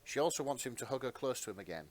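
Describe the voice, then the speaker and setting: Man narrating a dramatic story, mid-pitched. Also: lively intonation, very fast.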